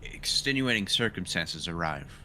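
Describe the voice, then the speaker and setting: Male roleplaying player, lowish yet sharp voice, casual halting in-character talk with music behind. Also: lively intonation, a little hiss or hum.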